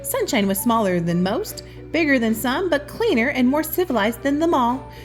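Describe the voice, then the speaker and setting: A woman reads a children's picture book aloud. Her voice is on the high side and swings expressively, with affectionate, chatty asides to her listener. Soft music plays behind her. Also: expressive, normal speed. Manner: affectionate, addresses the listener as sweetheart. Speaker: children's storybook reader and host.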